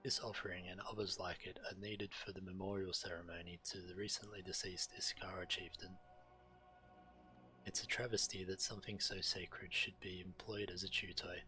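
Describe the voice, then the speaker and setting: A male game streamer with a low, bright voice. He reads quest text aloud as narration and drops into casual commentary as he plays. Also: level tone, normal speed, soft background music, low-quality recording.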